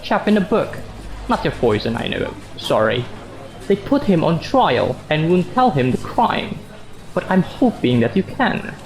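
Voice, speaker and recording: A man's voice: a little high, sing-song, a little slow. Man acting a wordy, sardonic office worker, echoey. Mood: dry, gloomy. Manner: wordy, bookish, dryly sardonic. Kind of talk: radio drama dialogue. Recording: echoey room sound.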